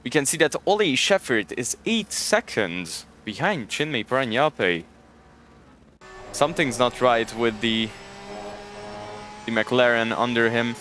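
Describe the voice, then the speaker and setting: A man's voice: mid-range voice, expressive, unhurried, pauses often, fairly bright tone, slight background noise. A man from Hungary with a Hungarian accent, casually commentating a sim racing stream and chatting with viewers. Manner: chatty, jokes and thanks chat viewers. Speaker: race commentator.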